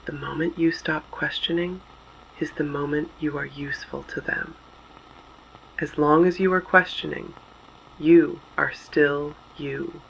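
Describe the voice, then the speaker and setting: A gravelly actor as a male announcer of an underground resistance broadcast, his voice a little high. He is solemn, guarded and deliberate, delivering short statements in a dystopian audio drama. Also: steady tone, fairly bright tone, slight background noise, low-quality recording. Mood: earnest, urging. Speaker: broadcast host. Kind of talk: scripted dystopian broadcast narration.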